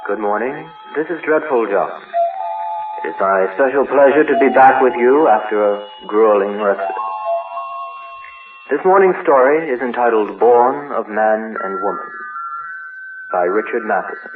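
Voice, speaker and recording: Male horror host, lowish voice, theatrical reading, thin radio filter with music underneath. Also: a little slow. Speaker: horror story host and reader. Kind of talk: dramatic horror story reading. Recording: tinny old-radio sound, music behind.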